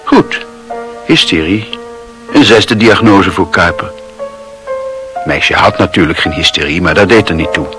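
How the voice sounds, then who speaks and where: A man narrating a literary radio drama in a measured storytelling manner. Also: low voice. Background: music.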